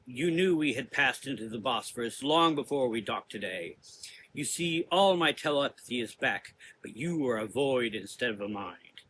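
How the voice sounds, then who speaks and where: A man playing a menacing, cerebral gothic sorcerer in an audio drama, lecturing deliberately in drawn-out, taunting phrases. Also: medium pitch, expressive, a bit bright, a little hiss or hum, close to an everyday voice, poor audio. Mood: accusing.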